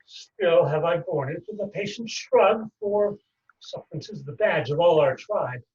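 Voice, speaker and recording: Distant, muffled recording. Wronged Shakespearean moneylender, played by a male actor, fairly high voice, declaiming with bitterness. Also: animated, a little mellow. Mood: bitter, aggrieved. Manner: declaimed, deliberate stage delivery.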